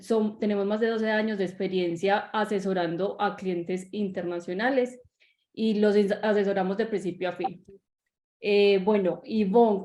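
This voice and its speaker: A woman's voice: medium pitch, warm tone. A woman real estate agent hosting a webinar over a video call, speaking hesitantly with many fillers and restarts. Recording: echoey room on video call.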